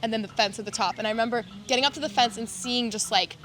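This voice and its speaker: Young woman podcast guest from Serbia, Serbian first language, bright and a bit high, animated rambling storyteller, faint background hiss. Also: quick pace. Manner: animated, rambling, lots of "like" fillers. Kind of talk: casual storytelling chat.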